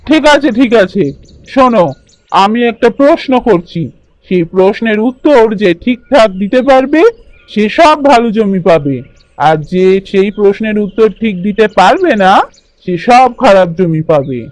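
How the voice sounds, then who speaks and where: Man acting a high-voiced commanding bird king. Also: lively intonation, moderate pace, dry recording. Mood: authoritative. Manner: authoritative, questioning. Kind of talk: children's cartoon voice acting.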